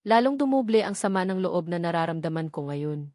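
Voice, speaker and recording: A woman's voice: fairly low pitch, expressive, a little fast, darkish tone, no echo, clean studio sound. A woman with a Filipino accent reading a dramatic first-person novel as an audiobook narrator.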